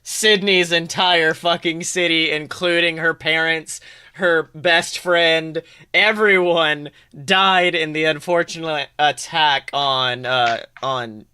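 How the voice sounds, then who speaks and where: Male podcast host, slightly high and crisp, casual, slangy and excitable. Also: slow. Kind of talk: casual chat.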